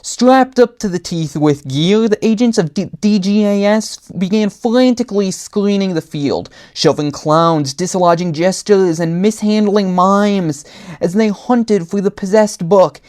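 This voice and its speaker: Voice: medium pitch, a little slow, a little mellow. A storyteller reads a humorous horror story aloud in a dramatic manner, acting out the characters. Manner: dramatic, acts out character voices. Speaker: storyteller and writer.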